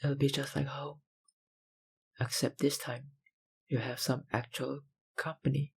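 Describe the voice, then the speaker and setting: An actor playing a possessive, powerful vampire kidnapper in an ASMR roleplay, breathy and husky, with a dominant, condescending manner. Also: male voice, medium pitch, level tone, a little slow, heavily acted. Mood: smug, coaxing. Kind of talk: ASMR roleplay, dramatic monologue.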